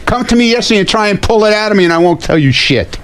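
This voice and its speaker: A man's voice: sits high, animated, fast, fairly bright tone, slight background noise. A man arguing on a talk show in a defensive, combative way with plenty of profanity. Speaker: show staff member in security. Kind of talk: heated on-air argument.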